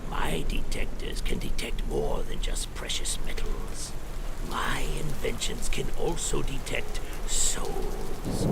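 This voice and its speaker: A macabre mad scientist telling eerie radio tales. His voice is heavily gravelly and swoops theatrically at a slow pace, with noise behind it. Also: a little high, exaggerated voice. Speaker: horror host and narrator. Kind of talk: live old-time radio horror storytelling. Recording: clear background noise.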